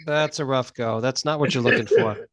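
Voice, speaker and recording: A man's voice: medium pitch, normal speed, fairly bright tone, dry recording. A middle-aged man co-hosting a podcast, introducing silly news in casual banter and repeating phrases for emphasis. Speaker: podcast co-host.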